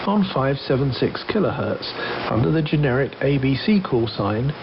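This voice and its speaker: A man's voice: mid-range voice, sing-song, normal speed. A man presenting a radio programme, reading scripted history narration over a shortwave broadcast. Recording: dull shortwave radio reception with heavy hiss.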